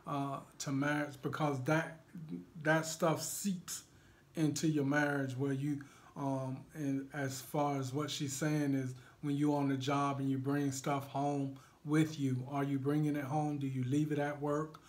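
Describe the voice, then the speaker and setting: A hoarse man with a thick Alabama country twang talks at an unhurried, drawn-out pace. He rambles easily and likes to joke, co-hosting a casual couple's vlog. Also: on the high side, level tone. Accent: Alabama Southern. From Alabama, USA. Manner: laid-back, rambling, joking. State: hoarse from a cold. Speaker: vlog co-host.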